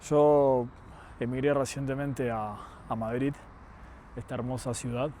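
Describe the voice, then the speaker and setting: A young man with an Argentine accent, giving a reflective personal monologue in a deliberate, earnest, articulate way. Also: medium pitch, steady tone, dark tone, slight background noise. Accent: Argentine Spanish. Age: young adult. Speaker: vlogger.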